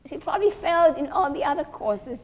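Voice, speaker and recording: Woman playing a high-voiced gossipy young woman. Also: expressive, a little fast, a little mellow. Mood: dismissive, gossipy. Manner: chatty, emphatic, speculating.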